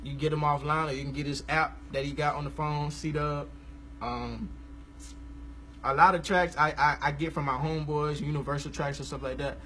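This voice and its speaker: A man, hosting a casual live stream about gospel music, talking in a relaxed, rambling, slangy way. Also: a little high, normal speed, a little hiss or hum, low-quality recording. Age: 20s.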